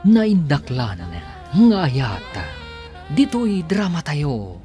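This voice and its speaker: A man's voice: on the high side, huge pitch swings, very slow, dark tone. A man with an Ilocano accent narrating and announcing a radio drama in a dramatic scripted style. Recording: music underneath.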